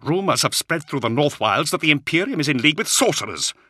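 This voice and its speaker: A man voicing a grim, angry knight in an audiobook, with forceful delivery. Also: on the high side, sing-song, fast, no room sound, studio quality, voice pushed up, exaggerated voice. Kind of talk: audiobook character acting.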